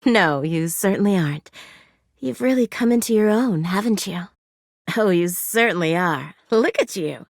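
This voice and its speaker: A doting, sweetly nosy game character, played by a woman, motherly and cooing. The voice is mid-pitched and recorded close and dry. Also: animated, normal speed, dry recording, close to an everyday voice. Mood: fond, cooing. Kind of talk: video game character acting.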